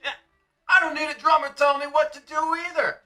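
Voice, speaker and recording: A man's voice: very high-pitched, flat delivery, fast, pushed and bright, heavily acted. A man voicing a sneering, arrogant young punk band leader in a motion comic. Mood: contemptuous.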